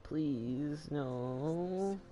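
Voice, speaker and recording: A young man giving casual, informal gameplay commentary, often halting and repeating his words. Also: a little high, loud music behind the voice. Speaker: gaming streamer. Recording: noisy home microphone, clicks.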